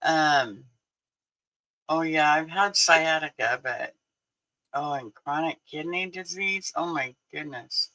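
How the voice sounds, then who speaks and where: Man in his 60s, livestream host, unhurried, very bright tone, chatty with frequent laughs. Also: a little high, poor audio. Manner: chatty, laughs often, answers viewers. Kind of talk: casual livestream chat.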